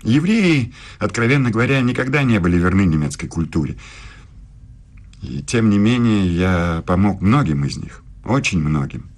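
An actor in a radio play voicing a proud, aggrieved older German philosopher, formal, measured and self-justifying. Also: male voice, on the low side, sing-song. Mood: bitter, indignant. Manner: measured, formal, deliberate speech.

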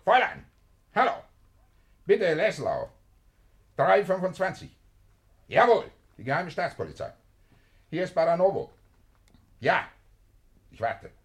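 Blustering, high-voiced Nazi village official. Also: male voice, huge pitch swings, a little slow, gravelly, pauses often, exaggerated voice. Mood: officious, insistent. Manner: shouting, accusing, barking orders. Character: blustering, vindictive local Nazi farmers' leader. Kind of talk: 1950s German radio play acting. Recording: noisy 1950s radio play recording.

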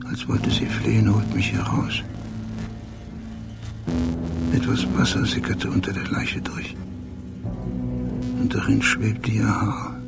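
An actor playing an obsessive, brooding police detective in a radio drama monologue, speaking in a hushed, deliberate way. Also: male voice, on the low side. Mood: grim, hushed. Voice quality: gravelly. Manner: hushed, deliberate, often near whisper. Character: obsessive, brooding male police detective recalling details precisely. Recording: loud music under the voice.

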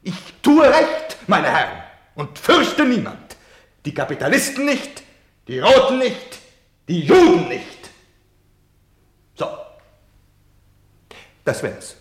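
An actor playing a defiant, self-justifying German man in a radio play, declaiming theatrically between hushed confiding and shouting. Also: high voice, wildly swooping pitch, takes it slowly, long pauses, echoey room, heavily acted. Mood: fanatical, rising.

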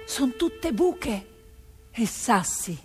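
A woman acting a proud peasant wife who tells of her family's toil in expressive, measured theatrical narration, voicing others as she goes. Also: a little high, heavily acted. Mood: wistful. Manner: expressive, measured storytelling, voicing quoted neighbours and relatives. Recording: music behind the voice.

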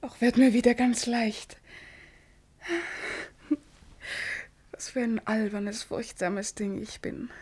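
An actress playing a young, dutiful, anxious bride in a classical German radio play, with soft, hesitant, formal delivery. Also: female voice, on the high side, fairly even intonation, a little slow. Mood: relieved, self-reproachful. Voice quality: breathy. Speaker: actor.

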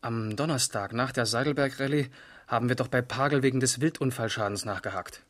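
A man acting a methodical East German police investigator in a radio play. He speaks in a medium-pitched voice, controlled and precise, sober and matter-of-fact. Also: fairly quick, fairly bright tone, close to an everyday voice. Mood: sober, reporting. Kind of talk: 1970s German radio crime drama acting.

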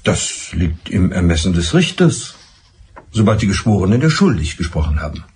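An actor in a German radio drama playing a blunt, exasperated police inspector who questions in a curt, impatient way. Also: male voice, sits low, big pitch swings, normal speed, darkish tone, slight background noise. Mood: irritated, official.

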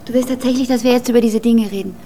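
A woman's voice: a little high, steady tone, racing, warm tone, a little hiss or hum, natural voice. An actress playing a guarded, faintly reproachful woman in a naturalistic radio drama. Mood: reproachful, incredulous.

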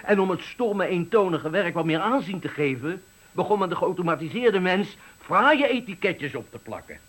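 An actor playing an embittered, eloquent factory worker of sixty-five, giving a dramatic, deliberate farewell speech in a radio play. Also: male voice, high voice, sing-song, a bit bright, heavily acted. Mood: indignant, impassioned. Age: 60s. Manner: oratorical, dramatic, deliberate phrasing. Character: embittered eloquent 65-year-old male factory worker at his retirement.

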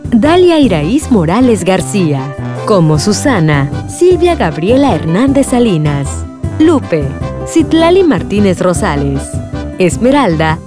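A woman announcer reading scripted credits. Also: medium pitch. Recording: music bed under voice.

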